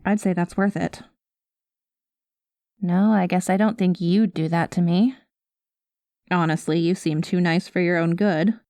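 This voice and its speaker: A woman's voice: on the low side, fairly even intonation, a little slow, long pauses, dark tone, dry recording. Woman playing a wry, cynical space smuggler, dry close sound. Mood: cautioning, cynical. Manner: wry, world-weary, conversational asides. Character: cynical, guarded female space smuggler with a soft spot. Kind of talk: ASMR roleplay addressed to the listener.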